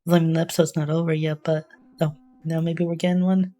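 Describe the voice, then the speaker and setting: Female reaction host, notably low register, flat delivery, casual and tentative, reasoning things out as she goes. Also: brisk. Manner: musing, tentative, thinking aloud. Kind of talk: casual off-the-cuff reaction commentary.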